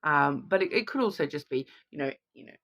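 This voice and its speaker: A woman's voice: deep voice, animated, fairly quick, pushed and bright. A woman with a cold, sounding sniffly, giving a chatty card reading to viewers and often checking in with "okay?". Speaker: tarot card reader.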